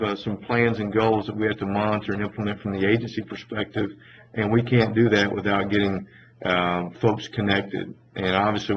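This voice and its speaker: Casting: man, lowish flat voice, businesslike team briefing, dull call audio with faint noise. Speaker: agency staff member on the rollout team. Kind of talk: informal work briefing on a conference call. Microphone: conference call line.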